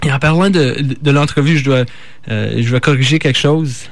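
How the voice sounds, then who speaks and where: A man with a Canadian French accent hosting a radio show, talking informally and haltingly as he stumbles over words and corrects himself. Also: medium pitch. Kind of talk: informal live radio talk.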